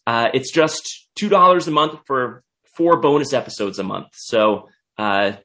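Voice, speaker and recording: Hesitant young hoarse man. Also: medium pitch, animated, unhurried, bright, forceful tone. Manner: hesitant, lots of uh fillers. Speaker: podcast host. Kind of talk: casual podcast chat.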